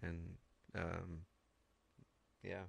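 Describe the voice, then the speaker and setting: A man's voice: low voice, monotone, extremely slow, creaky, slightly rough, no room sound. A man in his forties, a guest musician, rambling loosely and swearing offhand. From Washington State, USA. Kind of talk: casual interview chat.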